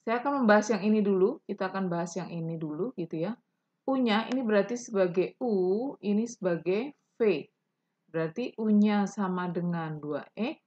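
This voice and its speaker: A woman's voice: medium pitch, a little mellow, breathy edge, highs cut off. A woman teaching a math lesson, explaining each step patiently and answering imagined student questions.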